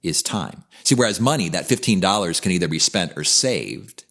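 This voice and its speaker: A man's voice: deep voice, expressive, normal speed, gravelly, a bit bright. A man in his fifties co-hosting a podcast, talking in an earnest, reflective way in casual conversation. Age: 50s. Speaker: podcast co-host, coach.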